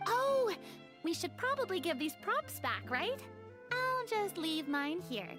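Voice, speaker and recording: A woman's voice: extremely high pitch, big pitch swings, slow, exaggerated voice. An actress voicing a chirpy, theatrical young woman in game dialogue. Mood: chatty. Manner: chirpy, dramatic, says quaint farewells. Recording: game audio with music behind.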